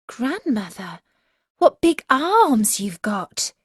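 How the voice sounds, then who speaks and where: Woman doing an innocent high-voiced little girl. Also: big pitch swings, drawn-out words, husky, no echo, studio quality, exaggerated voice. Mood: amazed. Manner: earnest, wide-eyed. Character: innocent, trusting little girl in fairy tale.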